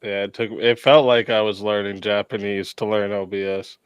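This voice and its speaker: A man's voice: on the low side, level tone, normal speed, clean tone, no room sound. A man with a nasal voice hosting a stream, chatting casually, laughing often and joking about himself. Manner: laid-back, laughs often, self-deprecating. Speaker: stream host and debate moderator. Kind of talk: casual chat.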